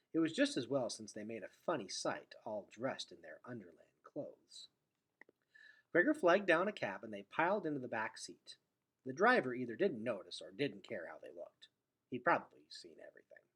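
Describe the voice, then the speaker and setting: A man reading a storybook aloud expressively, with dramatic delivery that drops into hushed, breathy tones. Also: medium pitch. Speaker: teacher reading aloud to students.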